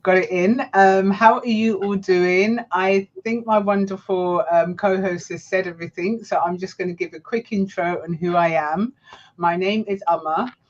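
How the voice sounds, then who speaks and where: A woman's voice: mid-range voice, steady tone, moderate pace. Ghana-born woman on dull lo-fi audio. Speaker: panelist. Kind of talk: casual panel discussion.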